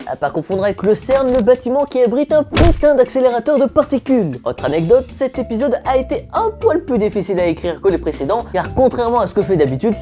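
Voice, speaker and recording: A woman's voice: a little high, warm tone. A woman hosting a comic history explainer, casual and slangy with jokes. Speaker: host.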